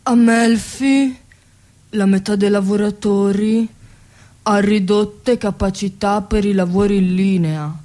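A woman playing a grave, deliberate journalist asking a challenging question in a satirical radio play. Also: mid-range voice, steady tone, mellow, lightly acted.

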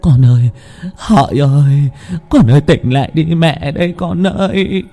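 A man's voice: a little high, unhurried, mellow, soft background music, pitched above the performer's own voice. A man voicing a frantic grieving village mother who wails and shrieks for her child. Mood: wailing. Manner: wailing, shrieking desperately.

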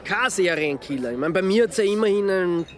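A man's voice: high voice, animated, a little fast. An actor playing a hapless, laconic man with an Austrian accent in a radio drama, tentative and understated. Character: hapless, laconic man, reluctant village witness. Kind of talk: dialect radio drama acting.

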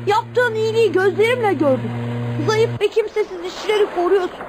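A woman's voice: extremely high pitch, brisk. A woman voicing an innocent, curious young schoolboy in a Turkish radio play, speaking in an earnest, naive and insistent way. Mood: admiring, insistent. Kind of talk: Turkish radio theatre acting. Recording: noisy radio drama recording.

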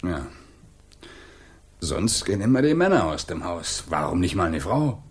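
An actor playing a weary, guarded single father in a German radio drama, husky and evasive. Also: low-pitched, sing-song, a little slow, exaggerated voice. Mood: resigned, defensive. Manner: evasive, defensive, hesitant.

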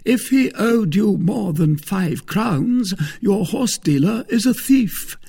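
A man's voice: sits high, animated, slow pace, gravelly, mellow, husky, pitched above the performer's own voice, exaggerated voice. A man voicing a proud, haughty musketeer in an audiobook novel, speaking with majestic, offended pomp. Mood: indignant scorn. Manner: pompous, majestic, scornful.

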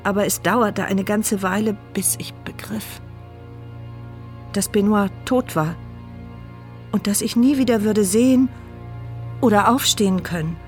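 A woman's voice: medium pitch, measured pace, pauses often, darkish tone, soft background music, close to an everyday voice. An actress voicing a paralysed, blind and mute woman in a radio drama, narrating her inner thoughts in a dry, sardonic, reflective way.

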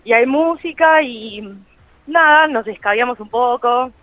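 A woman's voice: on the high side, unhurried, no echo, narrow, tinny audio. A young Argentine woman chatting casually as an interview guest, with a colloquial, slangy and self-deprecating manner. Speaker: interview guest, co-creator of a meme page. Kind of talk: casual chat in a radio interview.

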